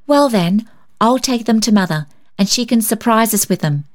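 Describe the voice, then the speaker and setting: Woman voicing an eager little girl, high-pitched. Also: lively intonation, moderate pace, natural voice. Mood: delighted. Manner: bright, earnest exclamations. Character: cheerful, eager, tender-hearted little girl.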